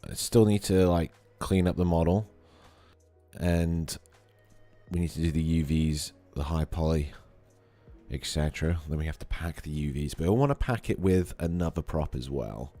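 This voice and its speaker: A man with an extremely low voice, slightly hoarse from a cold, talks through his work in an easy, rambling way. He fills pauses with ums and uhs as he goes. Faint music plays behind him as he speaks into a desk mic. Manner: relaxed, thinking aloud with many fillers. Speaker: 3D artist streaming a modeling session. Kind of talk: casual live tutorial. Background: faint background music.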